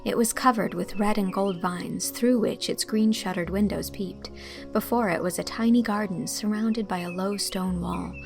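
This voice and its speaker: A woman narrates an audiobook from a script. Her voice is medium in pitch with a touch of vocal fry, and soft music runs underneath. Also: moderate pace.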